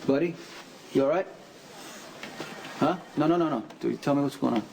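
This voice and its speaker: An actor playing a stubborn, protective father in a television soap drama, speaking emphatically and haltingly. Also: on the high side, darkish tone. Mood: alarmed, angry. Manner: emphatic, halting, repeats words. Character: protective, stubborn, angry father of a troubled boy. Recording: clearly noisy background ambience.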